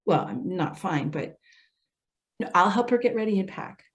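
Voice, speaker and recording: A woman's voice: fairly low pitch, expressive, normal speed, natural voice. A woman playing a patient, concerned social worker in a readers theater drama, speaking in an earnest, coaxing way. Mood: weary, confiding. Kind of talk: readers theater stage acting.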